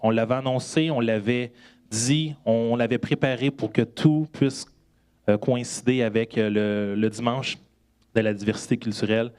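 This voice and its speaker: A man's voice: mid-range voice, steady tone, moderate pace, a little mellow, clear, resonant tone. A man introducing a guest preacher to the congregation, hesitant with frequent fillers. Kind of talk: church announcement.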